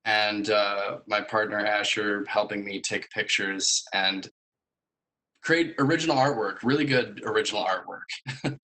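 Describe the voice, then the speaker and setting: Young guy doing a student presentation, kinda low and even, hesitant, bright forward voice. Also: a little slow, low-quality recording. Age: young adult. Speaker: student presenting a capstone project. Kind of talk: semi-formal slide presentation.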